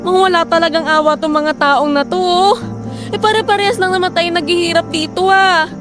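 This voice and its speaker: A woman's voice: extremely high pitch, fairly even intonation, brisk, music in the background. A woman playing a weary, frustrated wife who worries over household money in a Filipino audio drama. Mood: upset, despairing. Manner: complaining, pleading, emotive.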